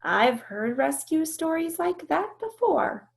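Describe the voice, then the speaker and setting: A woman voicing a weary, wise older female elephant in a read-aloud storybook, speaking in a measured way. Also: high-pitched, big pitch swings, gravelly, pitched above the performer's own voice, exaggerated voice. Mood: weary, gentle. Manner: slow, measured.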